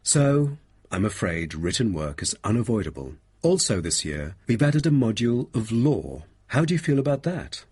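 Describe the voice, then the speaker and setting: Man acting as husky, formal male programme coordinator. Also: lowish voice, sing-song, moderate pace, darkish tone. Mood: formal, inquiring. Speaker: programme staff member. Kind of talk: scripted exam dialogue.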